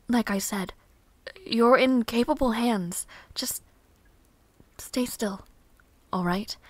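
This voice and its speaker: Woman acting a gentle, slow-spoken young noblewoman. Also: fairly high pitch, no room sound. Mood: soothing, relieved. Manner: soft-spoken, hesitant, halting, politely formal. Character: gentle young noblewoman, caring, flustered fantasy rescuer. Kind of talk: fantasy audio roleplay drama, spoken intimately to listener.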